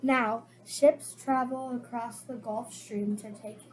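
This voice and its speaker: Female co-reader for a children's book: higher pitch, careful read-aloud delivery, faintly noisy recording. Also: fairly even intonation, slow. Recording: noticeable background noise.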